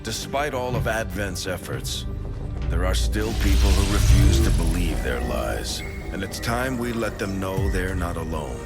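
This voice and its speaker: An actor playing a resolute resistance leader in a video game cutscene, grave and deliberate. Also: male voice, low-pitched, animated. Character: resolute low-voiced male resistance leader rallying his crew. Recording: loud game music over voice.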